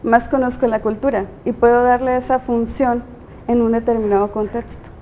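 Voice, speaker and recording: Mellow-voiced Mexican woman teacher explaining. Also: a little high, steady tone, moderate pace, muffled recording, slight background noise. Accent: Mexican Spanish. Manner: thoughtful, explanatory, many hesitations. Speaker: teacher and guest. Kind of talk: interview answers.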